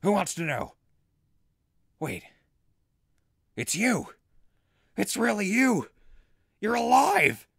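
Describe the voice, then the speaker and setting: Man acting a jittery, gravelly wasteland radio host. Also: sits high, very animated, a bit bright, no room sound, studio quality, heavily acted. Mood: excited, elated. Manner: rambling, dramatic, with hesitant pauses. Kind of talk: audio drama acting as recorded diary.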